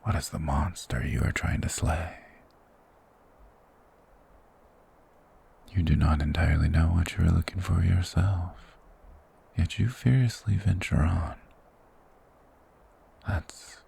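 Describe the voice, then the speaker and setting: A man as a very deep, gravelly forest monster. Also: full character voice. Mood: quietly ominous. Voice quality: breathy, gravelly whisper. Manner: formal, slow, measured, softly persuasive. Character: mysterious soft-spoken male monster hermit of the woods, courteous, eerie. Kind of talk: ASMR fantasy roleplay.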